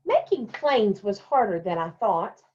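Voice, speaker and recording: A woman's voice: medium pitch, big pitch swings. Woman reading to children, dull lo-fi recording. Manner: simple, deliberate phrasing aimed at young children. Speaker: elementary school staff member reading a picture book to children. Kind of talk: children's storybook read-aloud, addressing young pupils.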